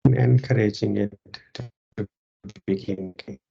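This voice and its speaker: A yoga teacher guiding a meditation in a calm, measured way, pausing between short phrases, on a dull, muffled recording. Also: medium pitch, gravelly, breathy edge. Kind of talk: guided meditation instruction.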